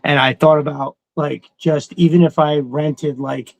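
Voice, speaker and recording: Creaky, higher-voiced man in rambling fan chat. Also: steady tone, a little slow, no room sound. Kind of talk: casual chat.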